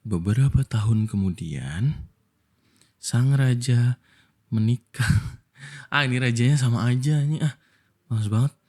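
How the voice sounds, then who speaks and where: A young Indonesian man with a soft, breathy voice, telling a bedtime story in an intimate ASMR style, playful and affectionate like a boyfriend. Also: mid-range voice, a little slow, pauses often.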